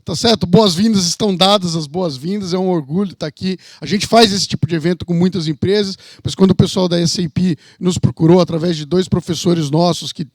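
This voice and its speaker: High-pitched São Paulo man presenting. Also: fairly even intonation, fairly quick, few pauses, dry recording. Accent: São Paulo state Brazilian. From São Paulo, Brazil. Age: 50s. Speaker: economist and university representative. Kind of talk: informal welcome presentation.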